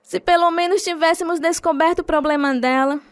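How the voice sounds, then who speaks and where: High-voiced grieving, devout mother. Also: steady tone, a little fast, no echo. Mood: sad, devoted. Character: Luba, devout grieving mother and steadfast wife. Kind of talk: radio drama acting and first-person narration.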